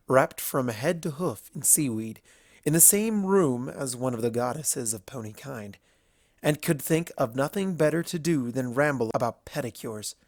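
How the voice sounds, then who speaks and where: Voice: medium pitch, dry recording, clean studio sound. A narrator reading a scripted story aloud in a storytelling style.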